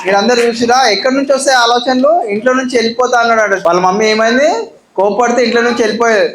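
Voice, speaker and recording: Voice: mid-range voice, steady tone, rapid-fire. A children's Bible club host, prompting and instructing children in call-and-response talk. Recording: echoey hall, faint noise.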